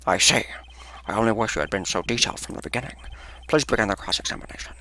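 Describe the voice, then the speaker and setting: A man's voice: on the low side, fast talker, sharp tone, slight background noise, voice pushed down. A man voicing a stern, formal older male judge with a clipped delivery.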